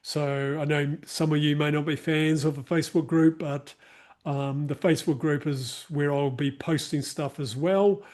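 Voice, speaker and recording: A man's voice: a little high, steady tone, normal speed, a little mellow. A man with a New Zealand accent hosting an online workshop, chatting casually with many fillers and restarts over a video call mic. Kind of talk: casual closing remarks to a live online group.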